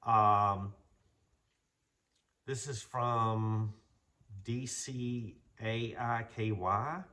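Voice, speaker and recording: A man's voice: on the low side, steady tone, very slow, sharp tone. A man hosting a casual show-and-tell vlog, talking in a folksy, rambling, enthusiastic way. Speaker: vlog host, vintage garage restorer.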